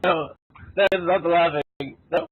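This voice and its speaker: A man's voice: fairly high pitch, moderate pace, breathy edge, no room sound. A man doing an amateur character reading with casual reactions, recorded through muffled call audio.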